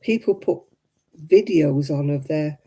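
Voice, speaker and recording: A woman in her seventies hosting a crafting livestream, chatting homely and warmly with viewers by name and laughing often. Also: sits low, a little slow, breathy edge. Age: 70s. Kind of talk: casual chat reading out viewer comments.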